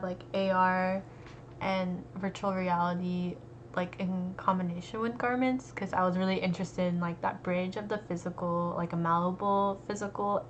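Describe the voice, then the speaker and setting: A young American woman, an art student, talking casually and relaxed, with lots of fillers. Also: on the low side. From United States. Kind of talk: casual conversation. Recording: dull lo-fi recording with faint noise.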